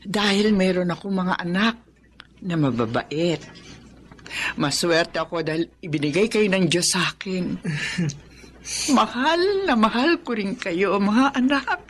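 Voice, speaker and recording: A woman's voice: medium pitch, lively intonation, slow pace, long pauses. An actress playing a devoted, emotional mother in a Filipino radio drama. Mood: grateful. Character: devoted, tearful mother of two sick sons. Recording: noisy ambience behind the voice.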